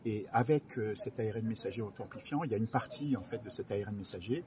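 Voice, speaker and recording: A man's voice: on the low side, fast, crowd noise. A man giving technical explanations as an interview guest, hesitating and repeating himself as he goes. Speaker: research director in biology, interview guest. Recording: loud ambient background noise.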